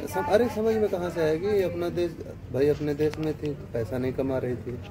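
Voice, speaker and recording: A man giving a scornful, heated commentary, now muttering and now bursting out loudly. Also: a little high, fairly even intonation, normal speed, crowd noise, rough recording. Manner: scornful and heated, rising to loud outbursts. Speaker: online commentator. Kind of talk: ranting video commentary.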